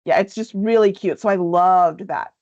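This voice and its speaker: A woman's voice: fairly low pitch, normal speed, a little mellow, highs cut off. A woman hosting a livestream, chatting upbeat and casual about a book and reading its cover copy aloud. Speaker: livestream host.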